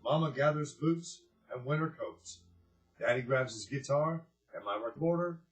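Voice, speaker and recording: A man speaking earnestly and deliberately with pauses, as a presenter giving a heartfelt address and storybook reading. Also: mid-range voice, low-quality recording. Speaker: director of a community organization, presenter.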